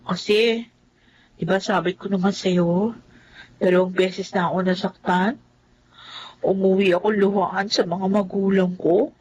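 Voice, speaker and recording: A woman's voice: medium pitch, steady tone, a little slow, long pauses, darkish tone, slightly rough, dull audio. An actress playing a headstrong, lovestruck young woman in an emotive, flippant radio drama style. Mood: smitten, cheerful. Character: headstrong, lovestruck young woman, defiant toward her family. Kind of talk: Filipino radio drama acting.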